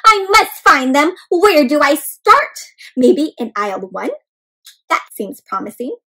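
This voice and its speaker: A woman's voice: high-pitched, sing-song, measured pace, bright, forceful tone, heavily acted, poor audio. A woman doing a frantic little egg. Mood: flustered. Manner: frantic, exclaiming. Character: anxious little egg boy, fretful and jittery.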